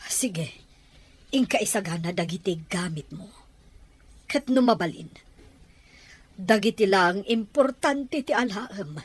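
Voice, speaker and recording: An actress with an Ilocano accent playing a determined, secretive mistress of a mansion in a radio drama. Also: female voice, medium pitch, lively intonation, unhurried, long pauses, slightly rough. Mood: urgent, resolute.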